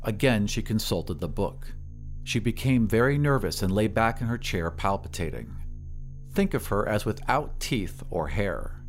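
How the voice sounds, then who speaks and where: A man's voice: on the low side, sing-song, measured pace. Man narrating a story over music. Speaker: narrator of an audio story podcast. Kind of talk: scripted dramatic story narration.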